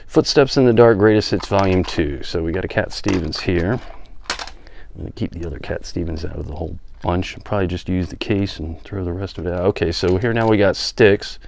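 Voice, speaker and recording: A man's voice: low voice, a little fast, gravelly, a little mellow, slight background noise. A middle-aged man giving casual, unscripted show-and-tell commentary, with offhand muttered asides to himself. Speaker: vlogger showing a music collection.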